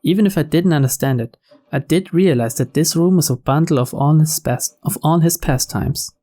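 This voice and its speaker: A man's voice: on the high side, moderate pace, dark tone. A man reading a visual novel aloud as scripted story narration. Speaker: video game reader. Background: game background music.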